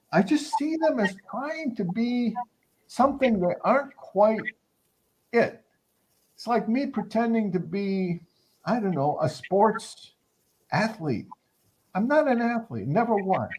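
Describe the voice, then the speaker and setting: Older man, animated high-pitched voice. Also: a little hiss or hum, rough recording. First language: Arabic. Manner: halting, searching for words. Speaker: academic guest, anthropologist. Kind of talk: reflective interview conversation.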